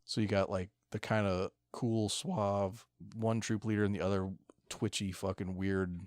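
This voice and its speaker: A man's voice: lowish voice, steady tone, measured pace, darkish tone, dry recording. A man talking casually as a podcast co-host, informal and given to swearing. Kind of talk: casual chat.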